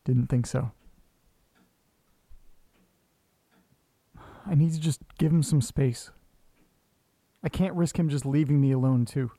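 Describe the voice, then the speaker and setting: An actor playing an anxious, lonely, self-doubting man who talks haltingly to himself in a horror audio drama. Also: mid-range voice, dark tone, no room sound, natural voice. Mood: brooding, dejected. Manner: halting, muttering, talking to himself. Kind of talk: audio drama monologue, naturalistic acting.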